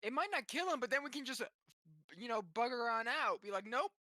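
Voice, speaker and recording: A woman with a bright voice and lively, swinging intonation, recorded dry. She chats and jokes casually as a player in a tabletop game. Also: fairly high pitch, fast talker, dry recording. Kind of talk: casual joking chat.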